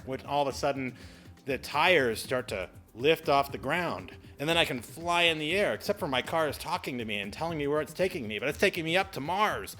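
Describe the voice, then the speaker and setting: A man hosting an explainer video in a casual, chatty style, animated and exclamatory, with playful exaggerated asides. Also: mid-range voice. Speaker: video host giving public speaking tips.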